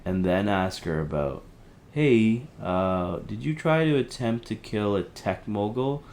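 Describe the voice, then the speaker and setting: Man acting rambling, deep-voiced conspiracy-minded husband. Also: lively intonation, a little mellow. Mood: hesitant, wry. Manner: rambling, halting, searching for words. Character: agitated conspiracy-minded husband piecing together a dystopian plot. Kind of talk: audio drama, conversational dialogue.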